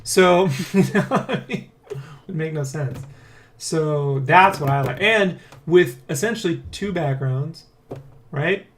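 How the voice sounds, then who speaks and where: A man hosting a casual dev blog, talking in a rambling, excitable way and often checking for agreement. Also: a little high, unhurried, a little hiss or hum. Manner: rambling, keeps seeking agreement with "right?" and "you know?". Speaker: dev blog host and comic artist. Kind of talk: casual dev vlog chat, addressing viewers and co-worker.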